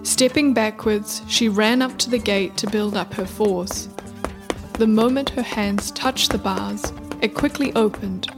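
A woman narrating a scripted folktale as the storyteller of a radio play. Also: a little high. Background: music.

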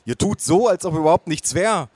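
Guy acting an exasperated grown son, sarcastic and indignant, slightly high voice, story reading. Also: lively intonation, brisk, darkish tone, dry recording. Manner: dry, indignant.

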